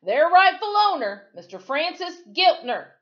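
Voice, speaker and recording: A woman's voice: very high voice, very animated, measured pace, voice pushed up, full character voice. Woman acting blustering male lawman, storybook, raised emphatic voice, declamatory, dull lo-fi sound. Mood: shouting, insistent. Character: blustering armed male deputy barking legal orders.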